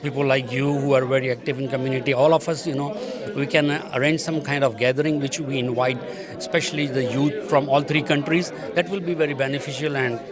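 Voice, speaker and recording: A man's voice: mid-range voice, fairly even intonation, brisk. A man, a community organizer interviewed at an event, speaking hesitantly and repeating words. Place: consulate reception hall. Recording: crowd chatter at an event.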